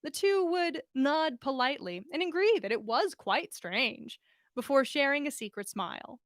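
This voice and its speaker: Woman, very high voice, story reader and host, informal, dry close recording. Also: lively intonation, moderate pace, no echo. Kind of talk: fan fiction reading and casual livestream chat.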